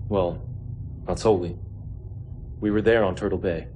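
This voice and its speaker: Deep-voiced, somber warrior defector played by a man. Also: steady tone, moderate pace, a little mellow, a little hiss or hum, close to an everyday voice. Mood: somber, regretful. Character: somber male clan warrior turned defector. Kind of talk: dramatic video game cutscene acting.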